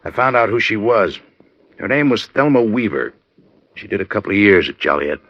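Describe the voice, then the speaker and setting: Low-voiced reluctant American informant. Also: male voice, big pitch swings, fairly quick. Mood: reluctant warning. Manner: plainspoken, reluctant. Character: reluctant male informant, uneasy but protective friend. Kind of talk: 1940s-50s radio noir acting. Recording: dull, dry vintage radio recording.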